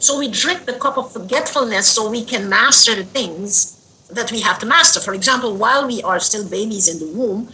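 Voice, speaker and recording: An older woman from Guyana, an interview guest telling a personal story in an earnest, unhurried way that lingers on words. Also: a little high, bright, forceful tone, a little hiss or hum, rough recording.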